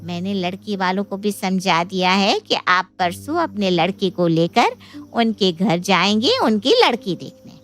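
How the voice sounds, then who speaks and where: A woman's voice: medium pitch, a little slow, soft background music, lightly acted. A woman voicing a boastful, bustling younger sister and matchmaker in a moral story, with a gushing, persuasive manner. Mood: proud. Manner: gushing, persuasive, animated phrasing. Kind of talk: dramatised Hindi moral story dialogue.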